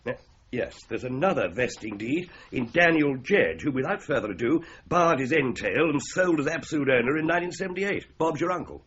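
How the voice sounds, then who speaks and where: An actor playing a wry, breezy solicitor in a 1980s radio drama, with an English accent and an offhand, drily joking manner. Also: male voice, on the high side, animated, fairly quick, a bit bright, breathy edge. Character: wry, flippant male solicitor, breezy and clubbable. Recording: dull, noisy 1980s radio recording.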